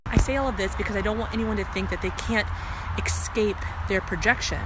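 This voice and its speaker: A woman's voice: mid-range voice, a little fast, heavy background noise. A woman giving casual relationship advice as a vlogger, in a conversational, emphatic way. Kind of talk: casual advice talk to camera.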